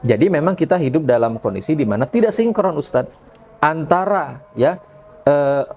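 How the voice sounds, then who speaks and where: A man's voice: on the high side, lively intonation, normal speed, a little mellow, soft background music. A man speaking as a religious podcast guest, explaining things conversationally and often checking in with tag questions. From Indonesia.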